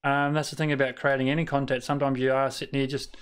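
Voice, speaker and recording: A man's voice: medium pitch, little pitch movement, quick pace, a bit bright, clean tone. An Australian man chatting casually to his live-stream viewers while he plays, rambling with lots of fillers and restarts and muttering at the game.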